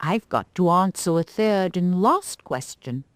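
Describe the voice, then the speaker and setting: A woman voicing a conceited little male cat who talks slowly and smugly. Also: lowish voice, lively intonation, no echo, clean studio sound. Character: small conceited male kitten, sly.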